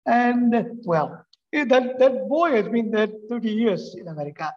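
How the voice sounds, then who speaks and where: Halting older Indian man, lo-fi. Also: very high voice, expressive. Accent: Indian English. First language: Tamil. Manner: halting, many "uh" fillers and restarts. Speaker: literature teacher. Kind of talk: speech to students. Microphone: remote talk, unseen audience.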